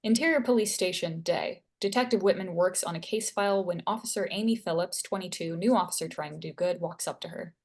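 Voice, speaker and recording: Lowish-voiced woman reading stage directions. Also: a little fast, few pauses. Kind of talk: scripted narration at screenplay table read.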